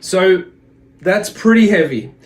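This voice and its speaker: A man giving a religious lesson as a teacher, speaking in a deliberate, explanatory way and repeating phrases for emphasis. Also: high voice, lively intonation. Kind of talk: religious lesson with readings from a text.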